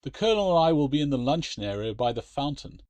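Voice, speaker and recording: A man's voice: medium pitch, very animated, quick pace, a little mellow, no echo, voice pushed up, close to an everyday voice. A man voicing a stern but loving father giving firm instructions.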